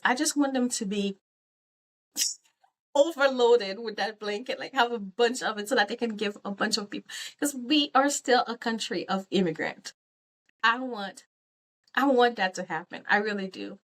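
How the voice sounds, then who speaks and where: A woman's voice: medium pitch, fairly bright tone. A woman who grew up in Haiti, talking through her crafting plans in a chatty, rambling vlog with frequent ums and some teasing of herself. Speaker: crochet vlogger. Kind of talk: casual vlog chat.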